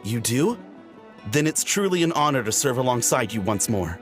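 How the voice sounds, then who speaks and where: An easygoing young warrior from a video game, played by a man. He talks casually and confidently at a middling pitch, with animated inflection. Music plays behind him. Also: moderate pace, lightly acted. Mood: delighted, admiring.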